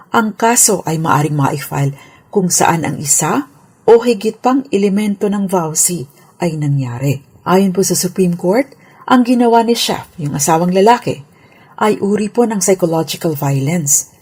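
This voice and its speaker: Filipino lady lawyer on radio, medium pitch, formal n polite, explaining legal stuff. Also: darkish tone, husky.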